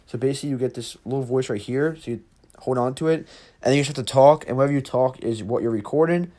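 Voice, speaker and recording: A man's voice: mid-range voice, fast talker, warm tone. Casual man hosting an app tutorial. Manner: informal, rambling, addressing viewers as guys. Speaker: tutorial host.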